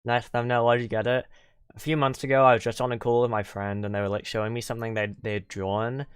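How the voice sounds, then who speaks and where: A man's voice: mid-range voice, little pitch movement, quick pace, smooth, dry recording, clean studio sound. A young man streaming and chatting casually with viewers, rambling with lots of "like" and restarts, venting at times. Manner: venting, exasperated. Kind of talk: casual livestream chat with viewers. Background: faint game music.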